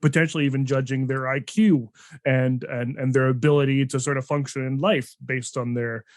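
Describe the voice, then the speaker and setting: A man's voice: mid-range voice, fairly even intonation, normal speed, dry recording, clean studio sound. A man hosting a podcast interview, talking casually in a rambling way with many restarts and fillers. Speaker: podcast host.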